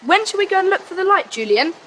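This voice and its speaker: A performer acts out a friendly, careful young schoolboy in a children's adventure drama. The voice is high and very lively in its pitch, earnest and well-spoken. Faint outdoor noise sits behind it. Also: quick pace, exaggerated voice. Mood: eager, cautious. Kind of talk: children's audiobook drama acting.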